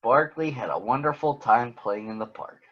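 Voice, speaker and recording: Man, mid-pitched, children's story reader, read-aloud style, dull lo-fi recording. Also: normal speed, a bit bright.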